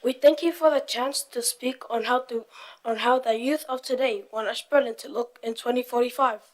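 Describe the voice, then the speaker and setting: A woman's voice: on the high side, moderate pace, low-quality recording. A young woman from Tonga reading a prepared presentation as part of a youth group.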